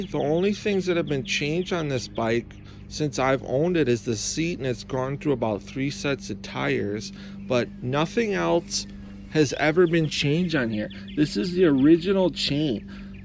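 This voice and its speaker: A man's voice: a little high, lively intonation, measured pace, vocal fry. A young adult man giving a casual, enthusiastic, unscripted review in colloquial speech. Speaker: vlogger reviewing vintage bikes. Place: outdoors, in a yard and driveway. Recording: outdoor handheld recording with ambient noise.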